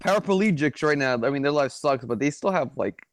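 A man in his twenties in casual conversation, easygoing, with halting phrasing as he disagrees with friends. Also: on the high side, lively intonation, dry recording. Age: 20s.

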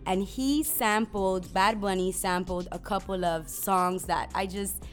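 Animated, profane young woman chatting. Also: medium pitch, unhurried, faint music behind. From US Virgin Islands (St. Croix). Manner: animated, profane, emphatic storytelling. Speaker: podcast co-host. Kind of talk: casual chat about music.

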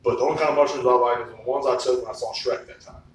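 A man's voice: medium pitch, fairly even intonation, very fast. Man in his thirties, distant and echoey. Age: 30s. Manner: loose, profane storytelling with frequent "like". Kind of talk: casual chat. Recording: echoey room, far from the mic, faint noise.

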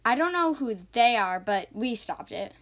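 A woman's voice: fairly high pitch, animated, moderate pace, a bit bright. A female co-host of a homemade podcast, chatting informally.

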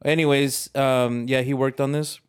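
A man's voice: mid-range voice, little pitch movement, moderate pace, smooth. A man chatting casually as a co-host on a podcast. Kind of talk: casual chat.

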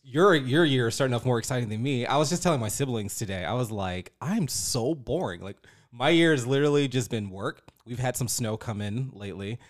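A man's voice: medium pitch, expressive, a little fast, hardly any pauses. A man in his thirties hosting a podcast in a playful, informal, exclamatory way, chatting casually. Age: 30s. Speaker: podcast host. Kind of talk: casual chat.

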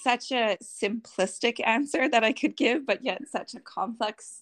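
Slow-paced, thoughtful woman. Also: fairly high pitch, a bit bright. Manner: thoughtful, earnest. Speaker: podcast guest, homesteader. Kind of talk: reflective interview talk.

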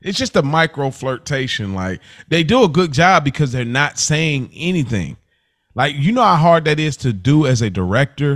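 A man's voice: fairly high pitch, big pitch swings, normal speed, fairly bright tone. Male podcast co-host, casual and slangy review chat, conversational delivery.

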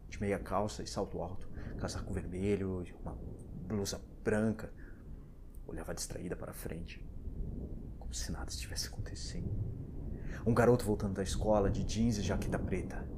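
An actor playing a panicked, paranoid husband and father in an audio drama, breathy and stammering as he moves between whispers and outbursts. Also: low-pitched, noisy background, natural voice. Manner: frantic, breathy, stammering, lurching from whispers to shouts.

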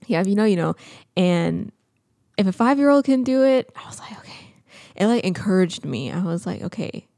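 A young woman co-hosting a podcast, chatting casually and telling stories in an earnest, animated way. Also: mid-range voice, brisk, vocal fry, no room sound, clean studio sound. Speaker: podcast co-host.

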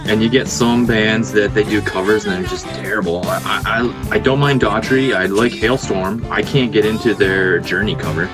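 A man's voice: on the low side, moderate pace, hardly any pauses. A man who plays in a rock band, chatting casually about music as a podcast guest.

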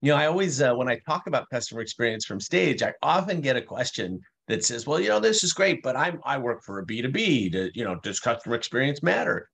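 A man's voice: mid-range voice, big pitch swings, fast, vocal fry, hardly any pauses, a bit bright, slightly rough. A man answering interview questions about customer experience, speaking fluently and explaining his points with playful asides.

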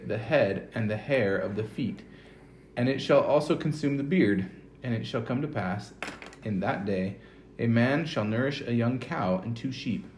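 A man's voice: medium pitch, darkish tone. A man reading scripture aloud and adding casual commentary as he leads a family reading. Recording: roomy echo, faint background noise.

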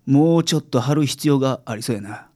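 A woman's voice: rumbling low, a little fast, a little mellow. A woman playing a blunt, laid-back male private detective with a Kansai accent in a radio drama. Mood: blunt, offhand. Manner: blunt, offhand.

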